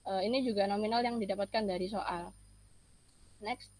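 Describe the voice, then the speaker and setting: A young woman explains slides as a student presenter, with hesitant, halting phrasing. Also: mid-range voice, fairly even intonation, darkish tone. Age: young adult.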